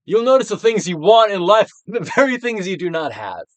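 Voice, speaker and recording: A man giving a dramatic, theatrical reading of a self-help book, emphatic, with swells and hushed drops. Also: high voice, very animated, a little fast, dry recording. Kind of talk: theatrical dramatic reading of scripted instructional text.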